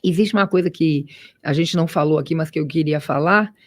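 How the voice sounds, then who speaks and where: A woman's voice: low voice, fairly quick, no room sound. A woman, an ear doctor, explaining patiently in a radio interview with frequent restarts. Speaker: ear, nose and throat doctor. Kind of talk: expert interview guest.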